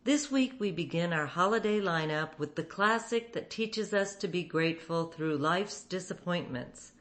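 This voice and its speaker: Female program host, deep register, scripted presenting delivery. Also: expressive, moderate pace, a bit bright. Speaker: podcast host. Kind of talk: scripted introduction.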